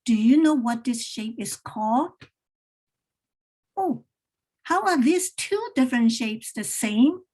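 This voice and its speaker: A woman leads a workshop for educators in a high, mellow voice. She takes her time, demonstrating read-aloud questions with gentle prompting. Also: lively intonation, slow, pauses often. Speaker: teacher trainer presenting a workshop.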